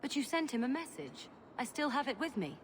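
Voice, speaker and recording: A woman's voice: high voice, very animated, fairly quick. Earnest guardswoman amid background noise. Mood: puzzled, worried. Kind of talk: dramatic video game acting. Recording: clear ambient noise behind.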